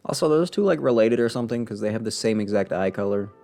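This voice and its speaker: A man's voice: on the low side, expressive, quick pace, darkish tone, soft background music. A man giving casual live gameplay commentary as a streamer, in a wry, rambling way. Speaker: game streamer.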